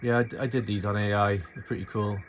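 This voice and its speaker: A man's voice: fairly low pitch, level tone, fast talker. A man giving a casual, unscripted tutorial as a radio hobbyist, thinking aloud in an informal, hesitant way into a phone. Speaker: amateur radio hobbyist making a tutorial video. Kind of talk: casual unscripted screen and gear walkthrough. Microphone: handheld phone filming the setup. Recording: noisy amateur phone recording.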